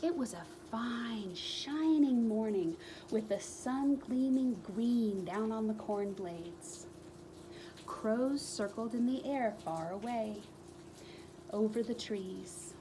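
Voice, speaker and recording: A woman reading a children's book aloud as a storyteller, expressively, with dramatic pauses and emphatic repetition. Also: fairly high pitch, background noise.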